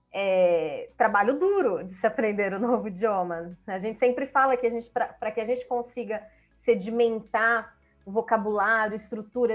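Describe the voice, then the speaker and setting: A woman with a Brazilian Portuguese accent speaking on a panel about language learning, reflective and enthusiastic, often repeating her phrases. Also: fairly high pitch. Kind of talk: panel talk.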